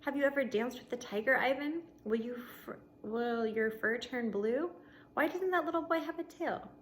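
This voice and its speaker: A curious baby elephant girl from a children's storybook, voiced by a woman reading aloud. The voice is fairly high and lilts through inquisitive questions. There is faint noise in the recording. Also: moderate pace, lightly acted. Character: curious young female baby elephant asking endless questions. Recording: noticeable background noise.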